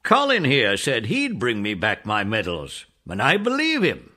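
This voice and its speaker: A man's voice: mid-range voice, huge pitch swings, unhurried, pushed and bright, exaggerated voice. A man playing a jolly, kindly old British general with a hearty manner in a children's audio story. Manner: hearty, chuckling exclamations.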